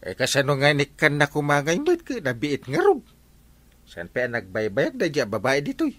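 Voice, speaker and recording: An actor playing a hesitant, stammering village captain in an Ilocano radio comedy drama, with an Ilocano accent. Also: male voice, fairly high pitch, huge pitch swings, normal speed, a bit bright, dry recording, exaggerated voice.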